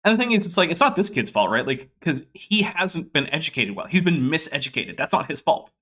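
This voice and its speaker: Bright-voiced talkative male podcast host. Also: fairly high pitch, big pitch swings. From Boston area. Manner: talkative, explanatory, quick bursts. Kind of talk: casual commentary.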